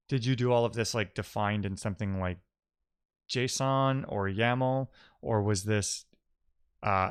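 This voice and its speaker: Low-voiced man thinking aloud. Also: smooth, no room sound, studio quality. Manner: musing, explanatory, poses questions to himself. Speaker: live-coding streamer. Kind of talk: casual technical talk, thinking aloud.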